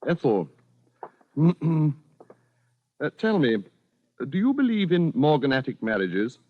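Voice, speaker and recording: A man's voice: a little high, big pitch swings, slow, phone-quality sound. An actor playing a furtive, evasive married Englishman with delusions of station, speaking formally and hesitantly in 1940s radio suspense style. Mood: weary, formal. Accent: British. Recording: vintage radio drama recording, faint music.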